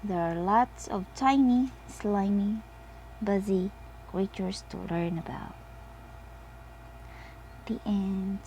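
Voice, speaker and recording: A woman reading a bedtime story aloud to children in an expressive manner. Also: mid-range voice, slow pace, pauses often, a little hiss or hum. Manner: expressive, with exaggerated character voices. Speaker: storybook reader.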